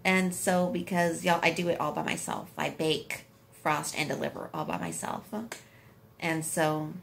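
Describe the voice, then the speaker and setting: A giggly woman in her late twenties talks casually to viewers, calling them y'all. Her voice carries a gravelly texture. She is filmed by a phone held at arm's length in a hospital room, with faint hiss behind her. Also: low-pitched, steady tone, a little slow. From United States. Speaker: vlogger. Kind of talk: casual couple vlog chat. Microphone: phone camera at arm's length.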